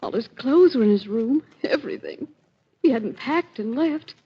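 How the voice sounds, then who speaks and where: A frightened wife speaks in a high, breathy-rough voice. Her delivery is halting and melodramatic, in 1940s radio style. The recording is dull and lo-fi. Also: animated. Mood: distraught. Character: distraught, frightened wife of a missing man. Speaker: actress in a mystery drama.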